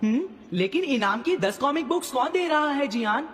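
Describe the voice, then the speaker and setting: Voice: high voice, expressive, normal speed, big-room sound. A voice actor playing a sly, tattling schoolboy, sidekick to a bully, in a Hindi cartoon dub, needling yet obedient. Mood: scheming.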